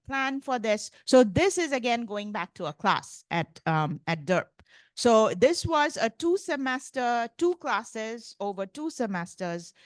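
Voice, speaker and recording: A woman's voice: mid-range voice, smooth, dry recording. A woman from India giving a casual conference lecture, chatty and full of fillers like "like" and "you know". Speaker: professor lecturing.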